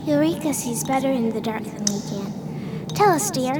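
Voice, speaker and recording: An eager young girl, played by a woman, earnest and caring, with a high voice. She speaks expressively as a radio play adventurer over clear background noise. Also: animated, normal speed. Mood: curious concern.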